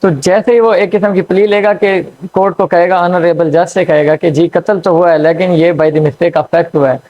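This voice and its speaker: A man's voice: high-pitched, steady tone, normal speed, a little mellow. Hesitant male law lecturer, lo-fi. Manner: hesitant, frequent fillers and checks "theek hai". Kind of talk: explanatory lecture. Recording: dull lo-fi recording.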